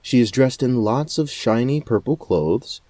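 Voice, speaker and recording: A man's voice: mid-range voice, expressive, measured pace, warm tone, dry recording. A man giving scripted narration for a fantasy audio drama. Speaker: narrator and game storyteller.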